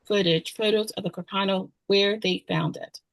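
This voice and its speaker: A woman's voice: medium pitch, fairly even intonation, normal speed, no echo, rough recording. A woman narrator reading scripted screenplay directions at a table read.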